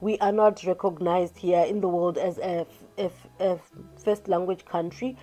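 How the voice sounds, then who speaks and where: A woman's voice: lowish voice, normal speed. Young woman, casual to-camera vlogger, chatty and gesturing, restarts often, faint music under the voice, close camera mic. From Zimbabwe. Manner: chatty, animated hand gestures, frequent restarts and "you get what I mean". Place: small room indoors. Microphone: camera in front at close range.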